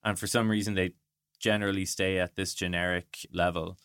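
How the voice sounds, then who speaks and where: A man's voice: low-pitched, little pitch movement, brisk, fairly bright tone, clean tone, no echo, clean studio sound. A man being interviewed as a guest, explaining his points conversationally and thinking aloud with illustrative asides. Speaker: interview guest, product design director.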